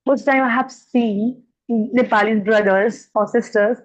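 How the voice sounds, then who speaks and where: A woman's voice: a little high, steady tone, a little slow. A woman hosting an English-practice chat, talking in a loose, encouraging way with frequent murmured "hmm"s. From India. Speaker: host, English mentor. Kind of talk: casual interview over video call. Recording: dull lo-fi video call audio.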